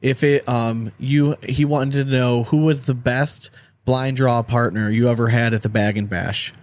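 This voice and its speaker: A man's voice: medium pitch, fairly even intonation, measured pace, darkish tone. A man hosting a podcast, chatting casually about sports with plenty of banter, slang and swearing. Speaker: podcast host.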